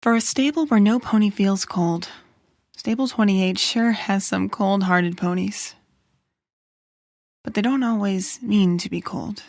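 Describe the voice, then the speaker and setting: A woman's voice: mid-range voice, measured pace, darkish tone, no echo, lightly acted. Woman acting a dry-sounding, world-weary pony writer. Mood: brooding, noir. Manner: moody, wry, self-deprecating. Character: world-weary, self-doubting female pony detective-story writer. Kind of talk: noir narration and dramatic dialogue.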